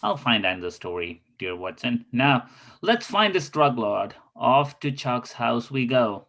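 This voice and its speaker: A man's voice: mid-range voice, big pitch swings, unhurried, fairly bright tone. A man in an amateur table read playing a pompous, genial detective and would-be writer with a theatrical manner. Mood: dejected. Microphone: video call.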